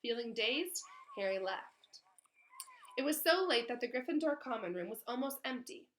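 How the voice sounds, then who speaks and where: A woman's voice: fairly high pitch, lively intonation, moderate pace, a bit bright. A woman reading a children's storybook aloud expressively, in the way of a teacher.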